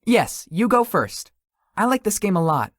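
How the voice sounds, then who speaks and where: A woman's voice: mid-range voice, sing-song, unhurried, no room sound, clean studio sound. A woman voicing a shy, easily flustered, sweet young man in a visual novel, with a hesitant manner. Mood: friendly, gentle. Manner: hesitant, stammering when embarrassed. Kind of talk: visual novel character acting.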